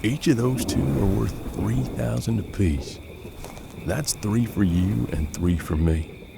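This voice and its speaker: A man's voice: lowish voice, slow, gravelly, background noise, exaggerated voice. An American man playing a seasoned, unflappable bounty hunter in a western audio drama, with a folksy, laconic drawl. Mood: firm, businesslike. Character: seasoned, unflappable Old West bounty hunter mentoring his apprentice.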